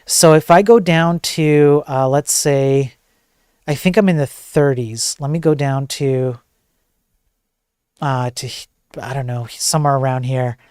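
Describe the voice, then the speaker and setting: A man with a soft voice chatting casually to viewers as a livestream host, in an informal, joking, rambling way. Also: mid-range voice, measured pace, clean tone, dry recording, clean studio sound.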